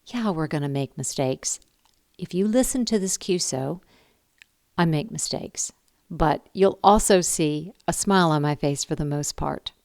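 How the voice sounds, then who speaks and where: A woman with a fairly low voice speaks casually to the camera as a hobby video host. She is earnest and encouraging, stressing her points by repeating them. The sound is dry and close, with little room echo. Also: unhurried, a little mellow. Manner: earnest, encouraging, emphatic repetition. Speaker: ham radio video host. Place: indoor room downstairs at home.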